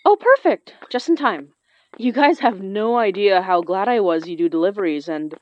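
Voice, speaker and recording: A woman playing an earnest, high-strung occult practitioner in an audio drama, speaking in an animated, natural way. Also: moderate pace, no echo, lo-fi sound, lightly acted. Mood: flustered, excited. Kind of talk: audio fiction podcast acting.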